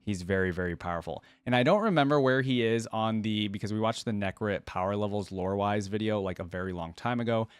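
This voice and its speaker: A man's voice: fairly low pitch, fast talker, few pauses, clear, resonant tone, no room sound. A man hosting a reaction video, giving casual, informal commentary and repeating phrases for emphasis.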